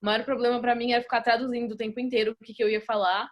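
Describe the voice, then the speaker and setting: A young Brazilian woman speaks at a club meeting about her time as an exchange student. Her voice sits a little high, and her talk runs loose and chatty, full of fillers and false starts. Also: little pitch movement, rapid-fire, lo-fi sound. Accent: Brazilian Portuguese. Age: young adult.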